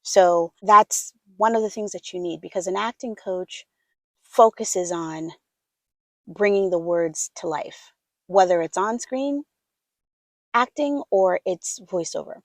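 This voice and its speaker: Woman, soft-spoken voiceover coach, casual chat, mid-pitched, dead-dry booth sound. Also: slow pace, dry recording. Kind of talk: casual instructional talk to camera.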